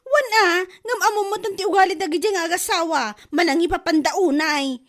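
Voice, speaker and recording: A woman's voice: very high-pitched, a little fast, a bit bright. A woman playing an eager, scheming village wife with an Ilocano accent in a comedy drama.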